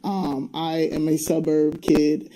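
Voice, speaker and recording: A woman's voice: low-pitched, flat delivery, slow, dark tone, a little hiss or hum, poor audio. A woman from Albany, New York, speaking earnestly and emphatically as an interview guest. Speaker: interview guest, community advocate.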